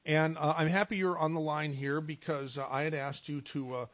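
A man's voice: on the high side, steady tone, normal speed, fairly bright tone, no echo. A man hosting a call-in radio show in a casual, jokey, rambling way, often restarting his phrases. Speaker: radio talk show host. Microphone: studio microphone. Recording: dull, dry radio studio sound.

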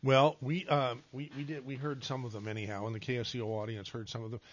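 A man's voice: medium pitch, flat delivery, fast. A man hosting a call-in radio show in a casual, jokey, rambling way, often restarting his phrases. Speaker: radio talk show host. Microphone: studio microphone. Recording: dull, dry radio studio sound.

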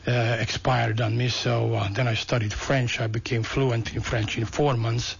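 Gravelly older man with a Hungarian accent. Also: medium pitch, flat delivery. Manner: halting, many "uh"s, amused. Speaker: radio guest, inventor. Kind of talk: telling a personal story. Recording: lo-fi radio broadcast.

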